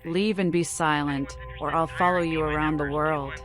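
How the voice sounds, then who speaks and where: A woman's voice: low-pitched, steady tone, moderate pace, close to an everyday voice. A woman playing a mad vampire in a video game, speaking in eerie, oracular riddles over music. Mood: calm menace. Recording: music behind the voice.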